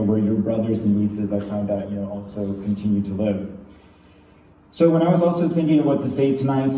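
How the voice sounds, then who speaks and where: A man from Turkey, a pulmonologist, giving a casual tribute speech full of fillers and restarts. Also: lowish voice. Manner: rambling, many fillers and restarts, laughing warmly. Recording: distant echoey room, faint noise.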